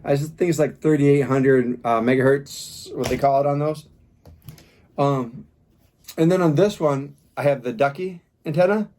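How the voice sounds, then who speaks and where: A man's voice: medium pitch, normal speed, a little mellow, a little hiss or hum. A man doing an informal product review, talking casually as he demonstrates gear step by step.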